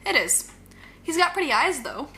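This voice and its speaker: Young villain actress, audio drama: playful, flippant, animated bright voice, casual naturalistic banter, faint noise. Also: female voice, high-pitched, normal speed, exaggerated voice. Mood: teasing. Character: breezy, teasing young bank-robbing shapeshifter villain.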